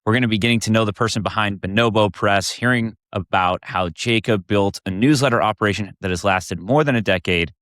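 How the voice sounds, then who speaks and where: A young man hosting a podcast, reading a polished intro and then asking relaxed, friendly questions. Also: lowish voice, a little fast, few pauses, no room sound, clean studio sound. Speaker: podcast host. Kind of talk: podcast interview.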